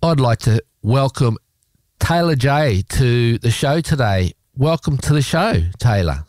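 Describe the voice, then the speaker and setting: An Australian man hosts a radio show in a relaxed, rambling way, filling pauses with "um" and "uh". His voice sits at an ordinary pitch. The sound is dry and close. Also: dry recording. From South Australia. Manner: rambling, chatty, with many fillers. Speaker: radio host. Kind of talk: casual community radio chat.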